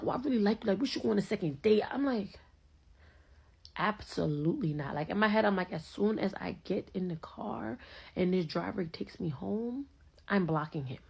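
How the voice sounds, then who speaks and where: A woman's voice: mid-range voice, creaky, breathy edge, highs cut off. Chatty New woman telling a story. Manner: animated, slangy, acts out both sides of dialogue. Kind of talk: casual storytelling.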